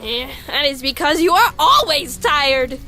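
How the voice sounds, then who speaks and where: Woman as earnest young male skeleton, cartoon dub, loud, emphatic formal diction, very high, music behind. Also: very animated, measured pace, full character voice. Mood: worried, earnest. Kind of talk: animated comic dub acting.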